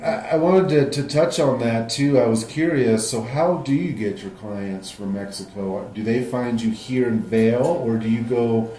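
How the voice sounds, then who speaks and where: A man's voice: medium pitch, moderate pace, hardly any pauses, mellow, recorded from a distance. Southern Californian man asking questions. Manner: friendly, brief affirmations and short questions. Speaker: interviewer for a home inspection company. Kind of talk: casual interview. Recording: echoey room.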